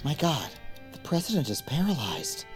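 An actor playing an anxious, flustered presidential doctor and reluctant accomplice in an audio drama, often hushed. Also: male voice, on the high side, sing-song, normal speed, soft background music. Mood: alarmed, flustered.

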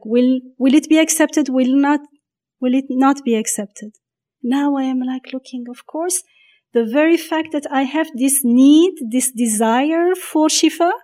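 A woman talking reflectively about faith in a conversation, pausing often and restarting her thoughts as she searches for words. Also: sits high, darkish tone.

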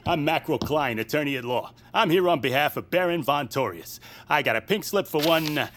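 A man's voice: on the high side, lively intonation, brisk, very noisy, close to an everyday voice. An actor playing a cheerfully officious male lawyer, polite and matter-of-fact, in a comedy audio drama. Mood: breezily officious. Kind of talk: audio fiction comedy acting.

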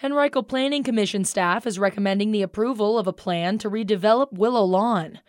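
A woman's voice: mid-range voice, fairly quick, no echo. Female American radio news anchor, dry studio sound. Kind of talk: scripted radio newscast.

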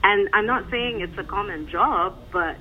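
A woman's voice: mid-range voice, slight background noise. A woman from Egypt, a tennis journalist answering interview questions in an earnest, fluent way. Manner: earnest, fluent, quick to explain. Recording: dull phone-line audio.

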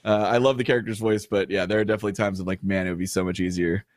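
A man's voice: fairly low pitch, level tone, rapid-fire, no room sound, clean studio sound. A man in his thirties, a show co-host, chatting casually on a livestream with frequent fillers and laughs.

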